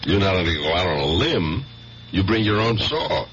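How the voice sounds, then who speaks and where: Casting: low, wry, inquisitive detective for 1970s radio drama; muffled broadcast recording. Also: male voice, big pitch swings, moderate pace, fairly bright tone, slight background noise. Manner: wry, probing, conversational. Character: wry, probing male police detective sergeant.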